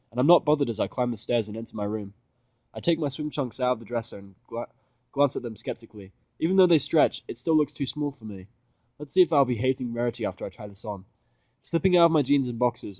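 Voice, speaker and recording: A man's voice: medium pitch, no room sound. A man narrating fan fiction in the first person, conversational, sardonic and sweary, like an amateur reader.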